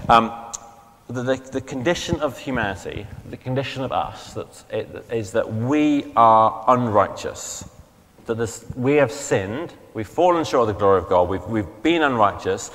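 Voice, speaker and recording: A man, a vicar preaching a sermon in a relaxed, conversational way, his throat strained and failing, often correcting himself as he goes. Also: mid-range voice. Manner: conversational, chatty, self-correcting. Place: church hall. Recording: long hall reverb.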